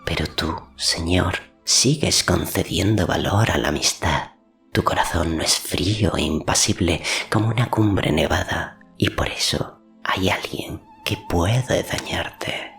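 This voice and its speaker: A man voicing a sly, servile sorceress in an audiobook, hushed and breathy, insinuating with deference. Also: low voice, measured pace, soft background music, full character voice. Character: sly, servile female sorceress whispering poisonous counsel.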